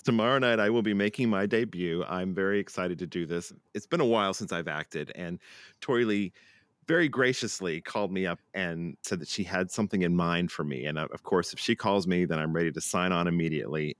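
A man's voice: deep voice, dry recording. A man hosting a public radio program and chatting as a guest, wry and self-deprecating. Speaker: radio host and reporter. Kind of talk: radio broadcast intro and interview.

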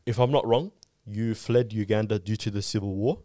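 A man's voice: fairly low pitch, normal speed, no room sound, studio quality. A man born in Somalia, hosting a casual interview podcast and talking loosely with lots of fillers. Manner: relaxed, filler-heavy, says "like" and "type of thing" often. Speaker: podcast host.

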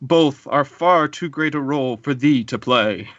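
A man's voice: mid-range voice, unhurried, fairly bright tone, dry recording, dull audio, natural voice. A man reading an earnest young male hero's aside to the audience in old verse.

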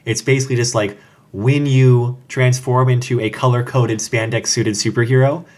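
A man's voice: mid-range voice, fairly even intonation, moderate pace, a little mellow. A man co-hosting a casual podcast chat, explaining fan lore at length with jokes and asides. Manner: explanatory, joking, rambling with asides. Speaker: podcast co-host.

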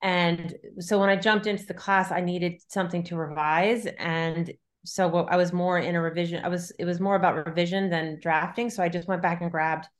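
A woman's voice: fairly low pitch, steady tone, brisk, few pauses. A middle-aged woman talking casually as an interviewed novelist, thinking aloud in loose, looping sentences. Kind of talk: casual conversational interview about writing.

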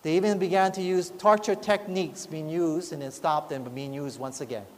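A man's voice: a little high, a little fast. A man preaching a sermon as pastor, often asking the congregation whether they agree. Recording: long hall reverb.

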